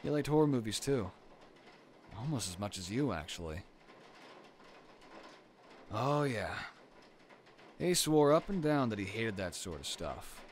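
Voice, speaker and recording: Man acting tender, teasing boyfriend, animated pitch. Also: measured pace, long pauses, a little hiss or hum. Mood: teasing, reminiscing. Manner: soft reassuring talk with sighs and teasing. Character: caring, gently teasing young boyfriend comforting partner during storm. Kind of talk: intimate boyfriend roleplay audio drama.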